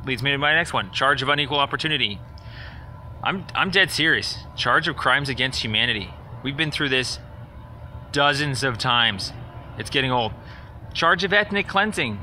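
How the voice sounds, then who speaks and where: A man's voice: medium pitch, expressive, moderate pace, pushed and bright, slight background noise. A man leaving a rambling, accusatory phone message in a sarcastic, confrontational, indignant manner. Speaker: caller leaving a voicemail complaint.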